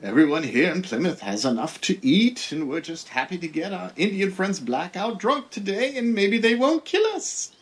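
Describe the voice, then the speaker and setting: An actor playing a blunt, deadpan male Pilgrim governor in a comedy sketch. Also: sits high, moderate pace, hardly any pauses. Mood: amused, matter-of-fact. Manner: matter-of-fact, darkly deadpan.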